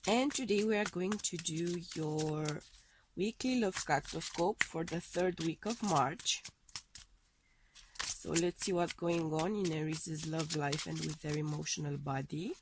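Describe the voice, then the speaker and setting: A woman's voice: deep voice, measured pace, background noise. A woman giving a weekly tarot love reading, her voice thrown off by a heavy cold, phrasing things tentatively as she reads the cards. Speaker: tarot card reader.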